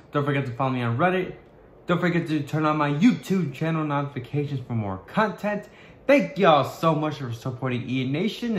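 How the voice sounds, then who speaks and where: A young man vlogging to his webcam in a small bedroom, talking in a halting way with many restarts as he searches for words. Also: fairly high pitch, fairly bright tone, echoey room. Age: young adult. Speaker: YouTuber. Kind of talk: casual vlog to camera.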